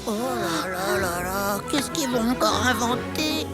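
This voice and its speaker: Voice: mid-range voice, lively intonation, measured pace, close to an everyday voice. An actor voicing a timid, anxious young male porcupine in a French cartoon, hesitant and whiny. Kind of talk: animated cartoon dub, French. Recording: loud music behind.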